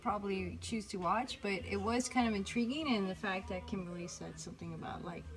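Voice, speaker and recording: A woman's voice: mid-range voice, fairly quick, over music, poor audio. Chatty woman podcast host from Miami. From Miami, Florida. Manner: chatty, swears freely, trails off into asides. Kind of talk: casual chat.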